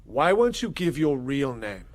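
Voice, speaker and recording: An actor playing an authoritative, secretive gentleman investigator in a period audio drama, husky, measured and formal. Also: mid-range voice, very animated. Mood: reassuring, firm.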